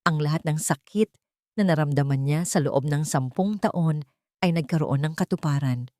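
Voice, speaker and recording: A woman's voice: low-pitched, moderate pace, dark tone, no room sound, studio quality. A woman with a Filipino accent narrating a scripted, dramatic romance story.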